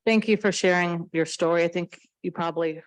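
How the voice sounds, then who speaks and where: A woman's voice: fairly low pitch, steady tone, a little fast. A woman speaking as a health official, giving an earnest, emphatic answer on a panel without a script. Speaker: CDC official and emergency physician, panelist.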